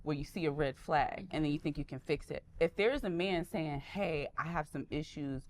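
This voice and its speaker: A woman in her twenties talking as a panel guest in casual chat, animated and rambling, often restarting her sentences. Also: low voice, normal speed. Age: 20s.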